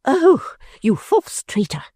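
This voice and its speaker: A reader voicing a furious middle-aged woman who runs a shop, shrill and scolding. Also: very animated, moderate pace, warm tone, no echo, studio quality. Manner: screaming, scolding.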